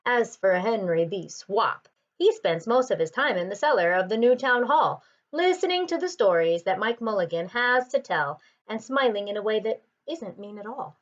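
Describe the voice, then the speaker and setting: A woman reading a children's picture book aloud, with expressive and deliberate phrasing. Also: medium pitch, highs cut off. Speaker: storybook reader.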